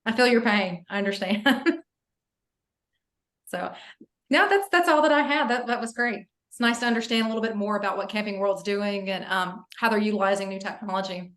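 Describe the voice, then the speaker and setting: A woman's voice: mid-range voice, brisk, fairly bright tone, roomy sound. A woman co-hosting an interview over a video call, asking brief, friendly questions. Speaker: co-host. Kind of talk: casual interview chat.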